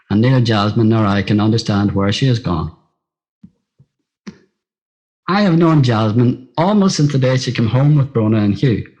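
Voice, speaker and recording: A man's voice: medium pitch, darkish tone, rough recording. A middle-aged man with a Northern Irish accent reads his own scripted personal story in a simple, plain-spoken storytelling manner. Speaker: writer reading own story.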